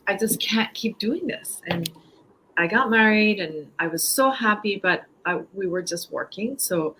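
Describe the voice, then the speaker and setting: A woman in her fifties from Japan, speaking English with a Japanese accent as a guest in a casual interview, halting, with many fillers and restarts. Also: mid-range voice, animated, pushed and bright, a little hiss or hum, rough recording. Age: 50s.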